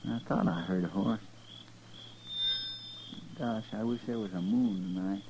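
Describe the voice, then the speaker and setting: An actor playing a folksy, eager, jittery marshal's sidekick in a 1950s radio western. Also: male voice, lowish voice, steady tone, unhurried. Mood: uneasy. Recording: noisy old 1950s radio broadcast.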